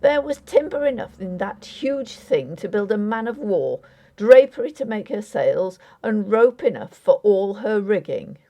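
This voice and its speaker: A woman acts out a Victorian squire in a gothic audiobook tale. He is proud and immovable, making formal pronouncements with strong emphasis. The tone is mellow, yet the pitch moves widely from phrase to phrase. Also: sing-song, unhurried. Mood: triumphant. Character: resolute, haughty Victorian gentleman squire.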